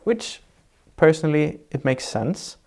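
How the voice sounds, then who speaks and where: A man with a Swedish accent, a Swedish native speaker, answering interview questions as an architect in a measured, reflective way, laying out ideas step by step. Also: on the high side, lively intonation, darkish tone. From Sweden. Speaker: architect guest. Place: sitting indoors in Norway.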